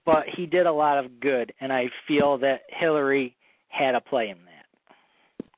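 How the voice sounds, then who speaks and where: A man's voice: medium pitch, a little slow, no room sound. A man in a casual political chat, agreeable and thoughtful. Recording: tinny phone-like line, dry.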